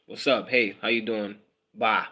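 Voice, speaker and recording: A man's voice: lowish voice, steady tone, a little slow, pushed and bright. South African man musing on a livestream. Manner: musing, repeats phrases, mixes in Zulu words. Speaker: livestream host. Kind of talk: casual livestream monologue.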